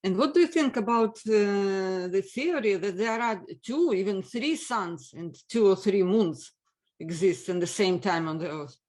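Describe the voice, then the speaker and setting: A man's voice: high voice, a little mellow. Hesitant man asking questions. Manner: hesitant, halting questions with many fillers. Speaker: interviewer, host. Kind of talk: casual interview questions.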